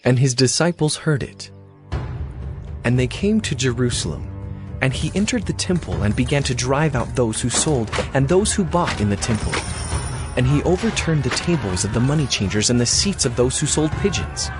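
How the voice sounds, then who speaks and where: A man narrating a dramatized scripture reading in a steady, even way. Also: mid-range voice, moderate pace. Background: music.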